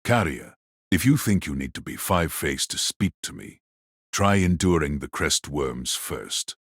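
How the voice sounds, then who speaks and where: A man voicing a wicked, cold old sorcerer patriarch in an audiobook drama, speaking in a slow, mocking, condescending way. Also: very deep voice, lively intonation, breathy edge, no echo, clean studio sound, full character voice. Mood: condescending, calculating.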